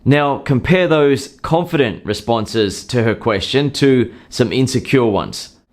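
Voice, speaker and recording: A man calmly explaining dating advice in a scripted monologue, acting out short example lines now and then. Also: mid-range voice, measured pace. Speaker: dating coach.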